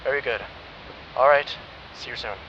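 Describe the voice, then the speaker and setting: A man's voice: mid-range voice, moderate pace, fairly bright tone, highs cut off, slight background noise, lightly acted. A man playing a tired, caring ally. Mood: concerned.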